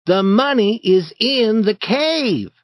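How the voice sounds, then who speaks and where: A man's voice: high voice, takes it slowly, no room sound, pitched above the performer's own voice. A man doing an eager bold young boy. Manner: confident, excited, urging.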